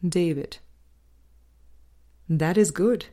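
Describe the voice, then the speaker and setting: A woman reading a spiritual book aloud as a scripted narration, with measured, deliberate phrasing in short groups. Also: lowish voice, dark tone. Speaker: audiobook reader.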